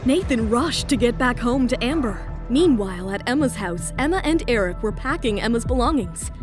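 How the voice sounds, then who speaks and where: A woman's voice: fairly high pitch, animated, moderate pace, music in the background. A woman narrating a scripted romance audio drama.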